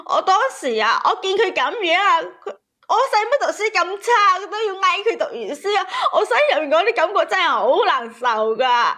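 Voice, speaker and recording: High voiced woman phoning in w her personal story, plaintive n kinda aggrieved. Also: animated, moderate pace, sharp tone. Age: 30s. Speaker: caller.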